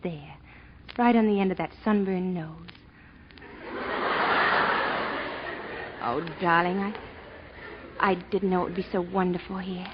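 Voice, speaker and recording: An actress playing a possessive, volatile young wife with dramatic, intimate phrasing, in 1940s radio style. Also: female voice, lowish voice, steady tone, slow, pauses often. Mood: adoring, coy. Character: possessive, jealous young wife, sugary then brittle and volatile. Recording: dull, noisy 1940s radio recording.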